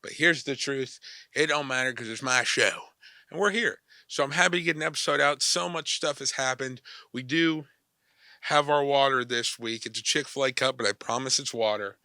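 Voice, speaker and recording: A young man with a cold hosts his sports podcast, his nose a little stuffy. His voice is bright and smooth, and the sound is close and dry. He talks in a loose, chatty, energetic way. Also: medium pitch, normal speed, dry recording. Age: young adult. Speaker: podcast host. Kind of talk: casual sports talk.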